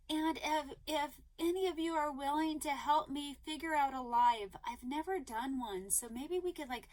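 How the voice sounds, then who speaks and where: A woman talking casually to her viewers as a crochet vlogger, rambling and upbeat with lots of ums and restarts, her voice a little hoarse. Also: sits high. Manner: eager and upbeat. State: slightly hoarse, losing her voice.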